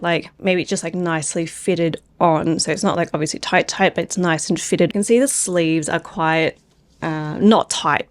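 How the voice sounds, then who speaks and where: A chatty woman with a lowish voice that is a little phlegmy from a cold. She rambles casually, filling her talk with "like"s as she reviews patterns. The sound is dry and close. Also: no echo. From Australia. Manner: chatty, rambling, many filler "like"s. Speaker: knitwear designer and host.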